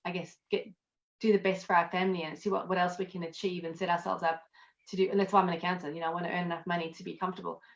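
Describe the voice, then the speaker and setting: Mujer sudafricana de habla rápida, audio apagado de videollamada. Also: female voice, lowish voice, level tone, racing, highs cut off. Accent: South African. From South Africa. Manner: chatty, self-deprecating, laughs easily. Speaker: guest, accountant and network marketer. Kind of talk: casual interview chat.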